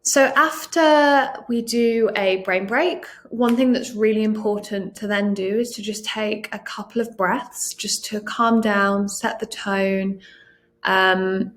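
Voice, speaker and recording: A British woman in her thirties presents to fellow teachers in a friendly, encouraging tone. Her delivery is loose and conversational, full of "um" and "kind of". Her pitch sits in the middle range. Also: steady tone, slow pace. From United Kingdom. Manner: chatty, encouraging, many "um" and "kind of" fillers. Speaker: teacher trainer and academic coordinator. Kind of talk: recorded conference presentation.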